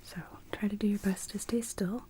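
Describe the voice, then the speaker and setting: A young woman whispering softly as a doctor in an ASMR medical exam roleplay, calmly giving step-by-step instructions. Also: mid-range voice, normal speed, slight background noise.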